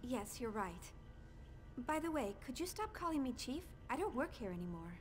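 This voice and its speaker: A woman's voice: high voice, big pitch swings, normal speed, slight background noise. A woman voicing a polite, wistful young female scientist in a video game cutscene. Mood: friendly. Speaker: game voice actor. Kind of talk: English game cutscene acting.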